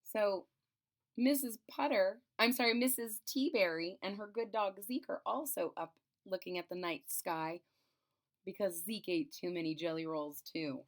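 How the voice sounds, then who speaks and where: A woman's voice: mid-range voice, a little slow. A woman with a mid-range voice reads a children's picture book aloud. She draws out phrases expressively for emphasis. Now and then she steps out of the text to explain things to her listeners as host. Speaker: storybook reader and channel host.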